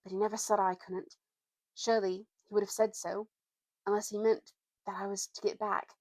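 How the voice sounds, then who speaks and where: A woman voicing an earnest, secretive schoolboy in a story reading, speaking solemnly and haltingly in old-fashioned schoolboy slang. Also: mid-range voice, flat delivery, dry recording, close to an everyday voice. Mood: solemn, thoughtful.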